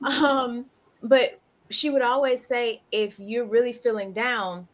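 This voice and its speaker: A woman's voice: a little high, fairly even intonation, slow. A woman hosting a podcast, telling a personal story candidly in casual chat. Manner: candid, emotional.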